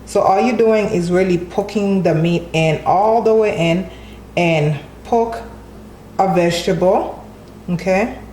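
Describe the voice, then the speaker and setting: A woman's voice: on the low side, a little slow, dark tone, roomy sound, slight background noise. Friendly woman hosting a cooking video. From Haiti. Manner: friendly, keeps adding "okay?" to check in. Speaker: cooking channel host. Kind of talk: step-by-step cooking tutorial. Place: home kitchen.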